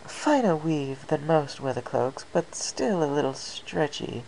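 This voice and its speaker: Woman doing a posh-mannered lady pony, high and lilting, draws words out all fancy, faint noise behind. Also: big pitch swings, creaky, darkish tone, heavily acted, rough recording. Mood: appraising, coy. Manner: genteel and theatrical, with drawn-out words. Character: refined, ladylike, fussy female pony dressmaker.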